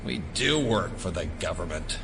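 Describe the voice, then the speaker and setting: An actor playing a weary covert operative back from battle, bantering dryly in an audio drama. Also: male voice, deep voice, expressive, normal speed, creaky, noisy background. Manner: dry, laconic banter.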